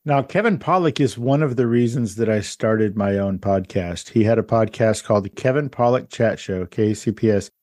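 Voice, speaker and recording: A man's voice: lowish voice, moderate pace, few pauses, a little mellow, no echo, studio quality. A man hosting a podcast, chatting in a friendly way and laughing often. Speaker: podcast host. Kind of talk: casual interview chat.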